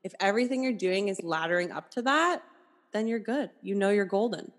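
A woman's voice: mid-range voice, animated, a little fast. Woman podcast host, encouraging and reflective, voice has a long reverby tail. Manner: warm, affirming, reflective. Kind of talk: casual podcast interview.

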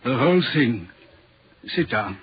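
A man's voice: mid-range voice, big pitch swings, a little slow, slight background noise. An actor playing an anxious, troubled railway signalman in an earnest, dramatic 1940s radio horror style. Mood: uneasy. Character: haunted, anxious railway signalman telling of a spectre. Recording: dull, muffled 1940s radio recording.

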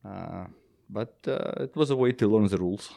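Belarusian-accented man, low voice. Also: vocal fry, dark tone. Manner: plain, halting, many "uh" and "yep". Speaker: jiu-jitsu competitor. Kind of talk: casual podcast chat.

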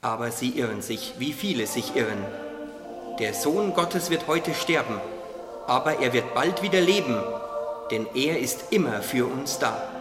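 A man voicing a wise, gently teasing caterpillar in a radio play, explaining things earnestly. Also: mid-range voice, expressive, measured pace, close to an everyday voice. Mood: hopeful, insistent. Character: wise, teasing caterpillar confidant, calmly preaching hope. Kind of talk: German religious audio drama acting. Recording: echoey reverberant hall, music underneath.

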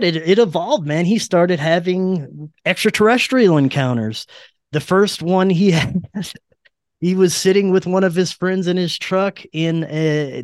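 A man's voice: fairly high pitch, dry recording, studio quality. A man speaking as a podcast guest, telling stories in an informal, earnest way with casual swearing. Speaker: podcast guest, paranormal researcher and interviewer. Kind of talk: casual conversational storytelling.

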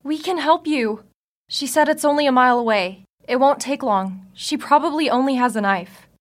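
A woman playing a gentle, helpful teenage daughter in an audio drama. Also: sits high, normal speed, natural voice. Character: kind teenage daughter, Olivia.

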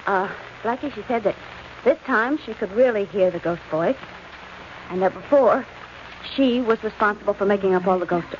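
A woman's voice: medium pitch, moderate pace, close to an everyday voice. An actress playing an anxious, kindly young woman, a detective's sidekick, in a 1940s radio drama. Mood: flustered. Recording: dull, hissy old radio recording.

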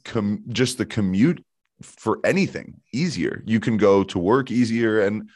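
A man's voice: lowish voice, unhurried, darkish tone, no echo, studio quality. A man hosting a podcast in easygoing, enthusiastic interview chat with frequent restarts. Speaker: podcast host.

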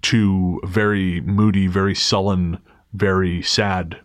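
A man's voice: low voice, little pitch movement, slow, no room sound, studio quality. A man hosting a podcast, talking in a thoughtful, candid, self-deprecating way. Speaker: podcast host. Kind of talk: casual podcast chat and read-out story.

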